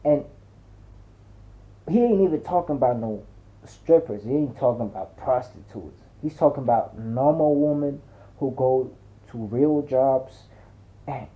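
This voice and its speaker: A man narrating a dramatic first-person story in a colloquial, slangy way. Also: mid-range voice. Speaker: audiobook narrator. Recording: muffled, narrow phone-like sound.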